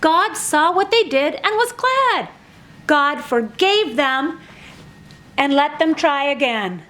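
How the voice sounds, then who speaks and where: A woman narrating a scripted children's story expressively, with dramatic, drawn-out emphasis on words. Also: high voice, animated, a bit bright. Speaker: narrator of a children's church puppet show.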